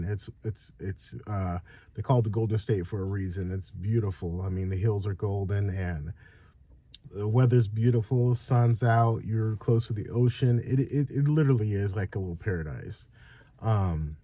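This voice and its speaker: A man's voice: lowish voice, dark tone. A man from Northern California chatting casually as a vlogger, rambling with lots of fillers and restarts, sounding nasal from a head cold. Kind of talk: casual direct-to-camera chat.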